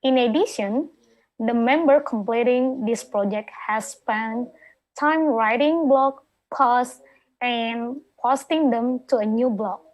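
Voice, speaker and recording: A woman formally introduces the next speaker at an online club meeting. She speaks slowly and evenly, with a fairly high voice, over a video call. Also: darkish tone, rough recording. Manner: measured, reads out the project details. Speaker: speech evaluator.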